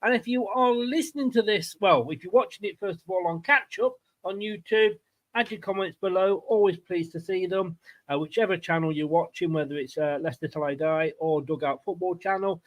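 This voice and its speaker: A man with a notably high voice hosts a casual football stream. He chats informally and teases as he goes, with an easy, jokey manner that readily breaks into laughter. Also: brisk, low-quality recording. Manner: jokey, teasing, laughs easily. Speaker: host of a football fan channel show. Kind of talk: casual livestream chat.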